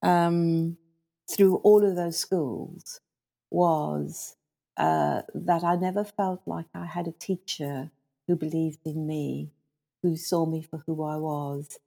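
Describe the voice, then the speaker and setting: A middle-aged woman from South Africa whose first language is Afrikaans, speaking as an interview guest and former school leader in a thoughtful, measured way, often pausing on fillers. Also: low voice, steady tone, vocal fry, dark tone. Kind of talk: reflective interview storytelling.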